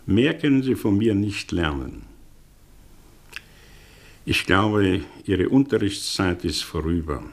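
An actor playing a world-weary, aloof private teacher, speaking deliberately and dismissively in a vintage radio play. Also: male voice, deep voice, animated, creaky, heavily acted. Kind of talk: mid-century German radio drama acting.

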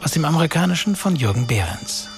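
Guy announcer reading radio play end credits, bit of fry in the voice, music going behind him. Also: a little high, very animated, quick pace. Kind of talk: scripted closing credits announcement. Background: prominent music.

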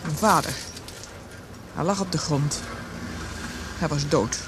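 An actress playing a guarded, grieving daughter in a radio drama, evasive and formal in manner. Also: female voice, sits low, slow. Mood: shaken. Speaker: actor in a radio play. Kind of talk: Dutch radio drama acting. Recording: clearly noisy drama recording.

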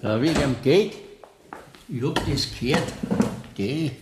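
An actor playing a simple, plain-spoken older country man in a radio play, with a rural Bavarian accent and a halting manner. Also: mid-range voice, lively intonation. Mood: hesitant, curious. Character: simple, cautious older country man bringing his savings. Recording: radio recording with clear noise.

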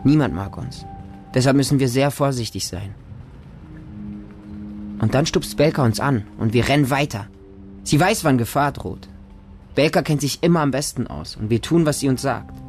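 A man's voice: medium pitch, normal speed, pauses often, darkish tone, soft background music, lightly acted. A man playing a watchful, trusting homeless boy in a radio drama monologue, speaking in simple, childlike short sentences. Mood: wary, hushed. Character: homeless street boy living with a pack of dogs, watchful, trusting, defiant.

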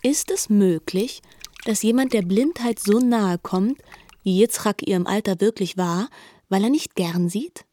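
A woman narrating a literary radio drama in a measured, formal, questioning manner. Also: medium pitch, dark tone, slight background noise.

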